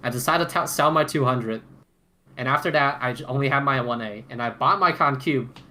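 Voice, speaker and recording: A man's voice: medium pitch, moderate pace, sharp tone. A man co-hosting a casual roundtable podcast about gear, talking emphatically with repeated phrases and casual swearing. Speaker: podcast co-host.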